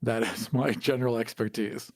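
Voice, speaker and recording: A man's voice: medium pitch, fairly even intonation, normal speed, a little mellow, no echo, clean studio sound. A man bantering casually as a podcast co-host, playfully argumentative and swearing now and then.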